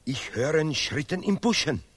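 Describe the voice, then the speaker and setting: An actor playing a pompous, sentimental patriotic scholar in an absurdist radio play, declaiming haltingly in broken grammar. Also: male voice, fairly high pitch, expressive, a bit bright, slightly rough. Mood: alarmed, excited. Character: pompous male Nobel laureate scholar, bombastic, sentimental patriot.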